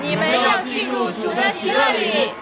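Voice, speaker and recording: An actress playing an exalted, joyful heavenly being making proclamations in a radio drama. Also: female voice, high voice, lively intonation, normal speed, a crowd in the background.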